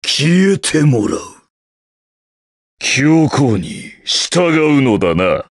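An actor playing a dark masked warrior villain in an anime game, cold and commanding with menace. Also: male voice, on the high side, big pitch swings, very slow, dry recording, clean studio sound, heavily acted.

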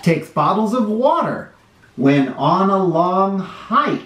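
A middle-aged man hosting a children's read-aloud, reading a storybook expressively with drawn-out phrasing. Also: high voice, sing-song, fairly bright tone. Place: small bright living room or playroom, indoors. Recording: roomy indoor echo.

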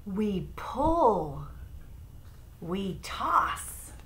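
Very slow, lively middle-aged woman reading aloud. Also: medium pitch. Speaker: storybook reader. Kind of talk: children's picture book read-aloud. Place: small room at home beside an open window. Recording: noisy room, home webcam recording. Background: clear background noise, room ambience.

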